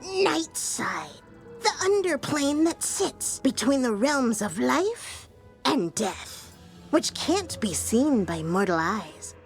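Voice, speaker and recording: A woman voicing a quirky, excitable, scatterbrained know-it-all in a video game, rambling with exaggerated emphasis. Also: sits high, slow pace, soft background music, full character voice. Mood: quirky, excited. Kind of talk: video game character acting.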